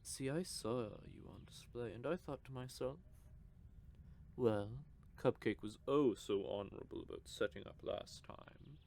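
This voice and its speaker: A man voicing a smug gravelly vampire. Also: mid-range voice, measured pace, a little mellow, slight background noise. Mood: smug teasing. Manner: smug, drawling, teasing. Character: teasing possessive male vampire. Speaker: voice actor. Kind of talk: audio roleplay.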